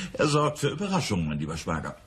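An actor playing a casual, wryly humorous wealthy businessman in a radio crime drama, with a very rough, husky voice. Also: on the low side, wildly swooping pitch, brisk, fairly bright tone, slight background noise. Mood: amused. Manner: salopp, humorous, chuckling asides.

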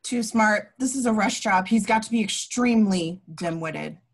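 A woman's voice: medium pitch, moderate pace, a little hiss or hum, close to an everyday voice. A woman playing a cold, curt, scornful henchwoman in an amateur comedy read. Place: home, on a group video call.

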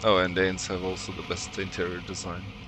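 A man's voice: sits low, monotone, a little fast, over music. A young Norwegian man with a Norwegian accent, chatting casually and laughing with his stream while he plays. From Norway. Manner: chatty, laughs often, talks to chat. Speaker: game streamer. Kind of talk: casual live-stream chat while playing. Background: game sounds.

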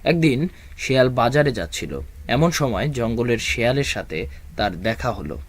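A man's voice: medium pitch, animated, moderate pace, no room sound. Dry-sounding male cartoon storyteller. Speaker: narrator. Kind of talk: scripted storybook narration.

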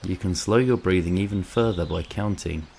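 A man narrating a scripted guided meditation in a calm, soothing, measured way, with long pauses. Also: deep voice, creaky, slight background noise.

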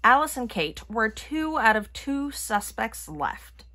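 Slow, animated woman reading a storybook. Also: on the high side. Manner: expressive, acting out characters' lines. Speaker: storybook reader. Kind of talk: children's picture book read-aloud.

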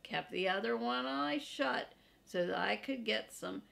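A woman in her sixties reading a children's chapter book aloud in a folksy, deliberate way, as first-person narration. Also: fairly high pitch, gravelly, a bit bright. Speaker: storybook reader for children. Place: small furnished room indoors, seated in an armchair.

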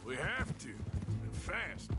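An actor playing a gruff, world-weary American outlaw gunman in a Western video game, terse and blunt with a drawl. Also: a little high, very animated, slow. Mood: weary, matter-of-fact. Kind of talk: video game dramatic acting. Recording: game music and horse hooves behind.

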